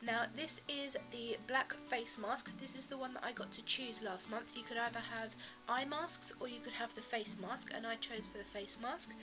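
A woman's voice: fairly high pitch, normal speed, muffled recording, over music. A woman, bunged up with a cold, chatting through a beauty box review to her webcam in her bedroom in a friendly, rambling way. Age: 20s. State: congested from a cold, stuffy nasal voice. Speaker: beauty vlogger. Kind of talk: casual product review to camera. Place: bedroom, indoors. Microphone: laptop webcam at close range.

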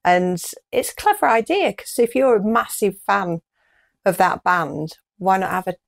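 A woman's voice: mid-range voice, expressive, moderate pace, gravelly. A middle-aged British woman chatting casually as co-host of a news show, recorded in a small room indoors. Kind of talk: casual chat.

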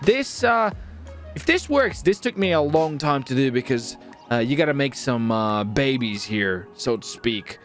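A man hosting a gaming video with excitable, theatrical commentary and playful roleplay, often repeating his phrases. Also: mid-range voice, measured pace, soft background music.

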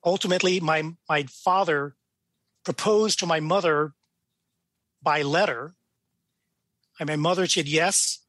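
A man's voice: fairly high pitch, unhurried, pauses often, fairly bright tone, no echo. An American man in his sixties from California, a judge telling his story casually as an interview guest. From California, United States. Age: 60s.

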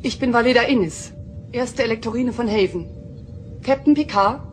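A woman as a stately, higher-voiced planetary leader. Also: moderate pace, soft background music, close to an everyday voice. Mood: grave, formal. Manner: stately, formal. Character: formal, grave female planetary leader. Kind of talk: dubbed sci-fi audio drama acting.

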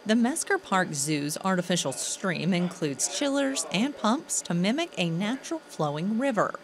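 A woman news reporter reading a scripted report with a lively delivery. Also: mid-range voice, normal speed, slight background noise.

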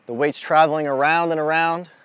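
Higher-pitched man coaching a group outdoors. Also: flat delivery, a bit bright, clean tone. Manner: deliberate, instructive, asks the group questions. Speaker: mental toughness coach leading a youth clinic. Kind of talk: motivational lesson to a group. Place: outdoors on an amphitheater lawn.

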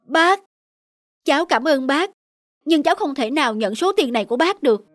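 A woman's voice: high-pitched, expressive, moderate pace, no room sound, clean studio sound. A woman voicing a humble, grateful young male student in a cartoon. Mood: grateful, hesitant. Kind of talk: cartoon dubbing.

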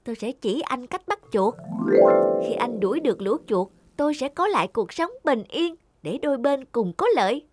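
A woman's voice: on the high side, animated, normal speed, no echo. A woman voicing a small, timid, earnest cartoon mouse with a hesitant, pleading manner. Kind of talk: animated moral fable dubbing. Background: music.

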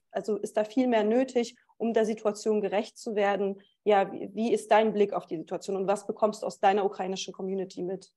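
A woman moderating an online panel over a video call, asking questions in a measured, polite way. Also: medium pitch, mellow.

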